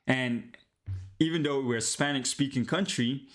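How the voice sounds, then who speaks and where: A man's voice: mid-range voice, normal speed. Laid-back Colombian man chatting on a stream. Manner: relaxed, slangy, switches into Spanish, frequent restarts. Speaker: live-streaming app developer. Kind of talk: casual live-stream chat with viewers. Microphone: headset.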